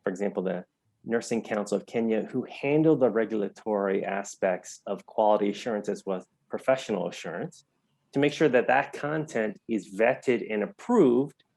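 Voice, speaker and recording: A man with an American accent and a fairly low voice, recorded close and dry. He answers as an interview guest, explaining his points carefully and leaning on key words. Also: moderate pace, vocal fry, darkish tone, dry recording. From United States. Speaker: interview guest, health entrepreneur.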